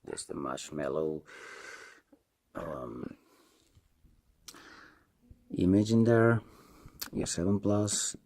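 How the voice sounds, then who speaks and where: A man with a Spanish accent and Spanish as his first language, giving a casual, unscripted product review in a halting, hesitant way and repeating himself. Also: low voice, animated, a little mellow. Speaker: tech reviewer, video host.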